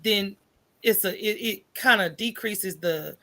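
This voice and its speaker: An American woman with a medium-pitched voice talks casually as an interview guest. Her phrasing is colloquial and conversational, and she often tags her points with small check-ins to the listener. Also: takes it slowly, bright, forceful tone. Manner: informal, colloquial, checks in with "right?" and "you know". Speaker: podcast guest, coffee business owner.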